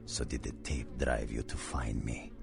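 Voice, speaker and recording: An actor playing an ancient, cultured vampire monster in a video game, speaking with theatrical menace, formal archaic phrasing and a breathy, whispering rasp. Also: male voice, very deep voice, fairly even intonation, unhurried, exaggerated voice. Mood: grandly courteous.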